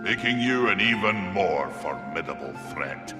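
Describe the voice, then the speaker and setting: An actor playing a gruff maker of magical trinkets in a video game, with a gravelly voice. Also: male voice, low voice, animated, normal speed. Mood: gruff, matter-of-fact. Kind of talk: dramatic video game acting. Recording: echoey with game music behind.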